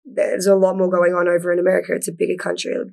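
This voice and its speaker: Woman, young adult, from Australia, chatty, gravelly voice, interview guest. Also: lowish voice, level tone, very fast, darkish tone. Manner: chatty, lots of "like", laughs easily. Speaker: actor, guest. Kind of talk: casual interview.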